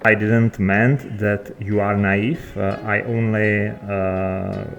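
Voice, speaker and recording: A man's voice: lowish voice, flat delivery, takes it slowly, vocal fry, dull audio, soft background music. A man with a non-native English accent chatting unscripted in character in a video game, hesitant and searching for words. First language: not English. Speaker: game player.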